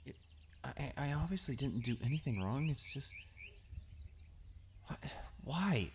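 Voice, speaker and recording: Guy acting a shy anxious high school boy, stutters a lot, hesitant, audio roleplay thing, normal mid pitch. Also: lively intonation, measured pace, slight background noise. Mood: troubled, muttering. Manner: stammering, hesitant, nervous. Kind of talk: romantic audio roleplay, one-sided dialogue.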